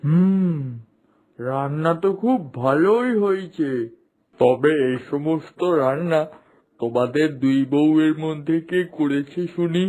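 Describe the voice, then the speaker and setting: A man voicing a kindly uncle-in-law, the elder of a cartoon household, approving and gently advising. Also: on the high side, expressive, slow. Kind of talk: Bengali moral-story cartoon dubbing.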